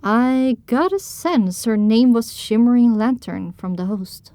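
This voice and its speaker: Highish-voiced woman narrator, dramatic reading. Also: measured pace, dark tone, dry recording. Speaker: audiobook narrator. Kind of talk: dramatic scripted first-person narration.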